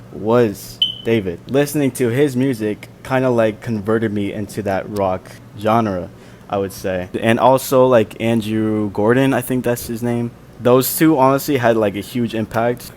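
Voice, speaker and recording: A young man, an independent musician, answering fan questions in a casual, soft-spoken, chatty way with lots of fillers. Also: on the low side, measured pace, a little hiss or hum. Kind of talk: casual Q&A vlog.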